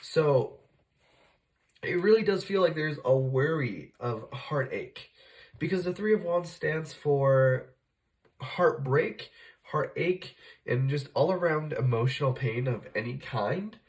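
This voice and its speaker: A man's voice: a little high, lively intonation, slow. Man with a cold doing a tarot reading. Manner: conversational, self-correcting, many fillers. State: fighting off a cold, occasional cough. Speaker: tarot reader, channel host. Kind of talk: casual tarot reading to camera.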